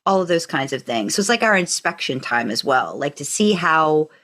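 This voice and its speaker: A woman's voice: low voice, very animated, normal speed, fairly bright tone. An American woman co-hosting a podcast, talking in a casual, conversational way. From United States. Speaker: podcast co-host. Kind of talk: casual fandom podcast chat.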